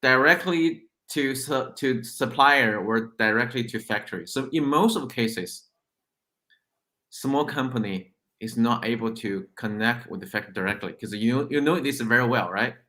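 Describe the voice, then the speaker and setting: A man's voice: mid-range voice, moderate pace, bright, forceful tone. A man, a guest who runs a shipping company, explaining things step by step in a casual interview with repeated words and fillers. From China. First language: Chinese.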